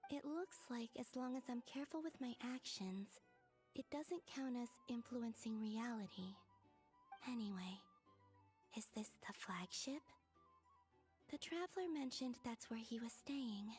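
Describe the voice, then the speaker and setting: A woman voicing a gentle, anxious young woman in a video game, earnest and careful, in hushed breathy tones as if talking to herself. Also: fairly high pitch, unhurried, lightly acted. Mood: cautious, curious. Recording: music behind the voice.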